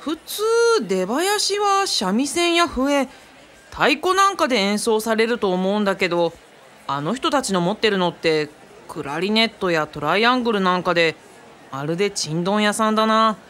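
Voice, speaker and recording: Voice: mid-range voice, very animated, moderate pace, sharp tone. A performer playing a casual, knowledgeable young companion who explains things kindly in a radio drama. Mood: explanatory. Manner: easygoing, explanatory.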